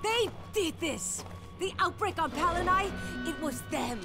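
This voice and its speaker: High-voiced, desperate mutated woman. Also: lively intonation, unhurried, over music. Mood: anguished, urgent. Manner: grave, dramatic, emphatic. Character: desperate, fatalistic mutated woman in a zombie game. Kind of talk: video game cutscene acting.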